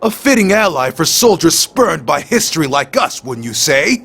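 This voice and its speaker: An actor playing a bitter, fanatical soldier villain in a video game, with a theatrical, ranting delivery. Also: male voice, on the high side, sing-song, normal speed, creaky, background noise. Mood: triumphant, fanatical.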